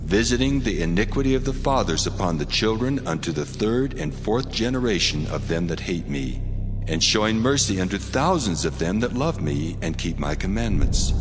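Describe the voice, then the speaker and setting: A deep male voice as a stern divine lawgiver, resounding in long reverb over music. He declaims each command with solemn authority, as in a scripture drama.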